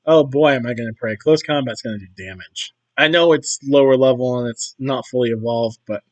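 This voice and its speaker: A man with a hoarse, worn-out voice talks through a video game session at an ordinary mid pitch. He rambles from one thought to the next and keeps clearing his throat. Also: moderate pace. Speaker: gaming YouTuber. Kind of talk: casual commentary over gameplay.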